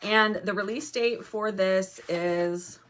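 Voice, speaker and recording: A middle-aged woman chatting casually about her new books, her voice a little raspy from a cold. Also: medium pitch, steady tone, slow. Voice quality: soft-spoken, reads blurbs aloud. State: recovering from a cold, slightly raspy. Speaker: book vlogger. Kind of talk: casual book haul chat.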